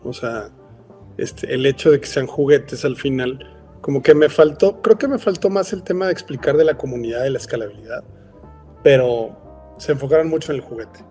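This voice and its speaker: A man's voice: mid-range voice, moderate pace, mellow. Mexican man, founder guest, casual interview talk, lots of fillers, faint music behind. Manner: rambling, frequent "este" fillers.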